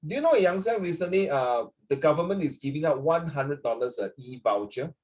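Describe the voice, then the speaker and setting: A man's voice: a little high, lively intonation, a little fast, darkish tone. A man with a Singaporean English accent, recovering from flu, teaching a social studies class over a video call, switching between English and Mandarin and checking in with students by name.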